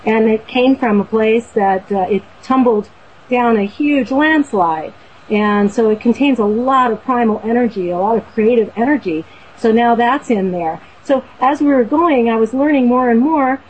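A woman's voice: on the high side, normal speed, breathy edge. Woman guest from Boston, earnest rambler, muffled lo-fi line. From Boston, USA. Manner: warm, earnest, rambling with fillers and restarts. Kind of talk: casual storytelling on a talk show.

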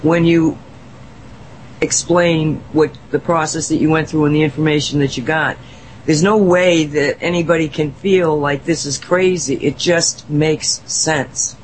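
A woman's voice: sits low, steady tone, unhurried, slight background noise, poor audio. A woman on a talk show who speaks enthusiastically and effusively, laughing and restarting her sentences. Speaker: guest. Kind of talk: casual talk-show chat.

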